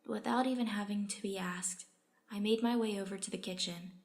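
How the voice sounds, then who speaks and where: Woman as story reader, medium-pitched, resigned first-person narration of a put-upon underling. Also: steady tone, a little fast, darkish tone, natural voice. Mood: subdued, resigned.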